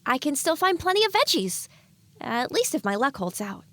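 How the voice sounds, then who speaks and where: A woman plays an anxious young filly pony in an audio drama, thinking aloud in a high, swooping voice. She questions herself constantly, yet clings to hope. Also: big pitch swings, moderate pace, fairly bright tone, no echo. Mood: perky, muttering.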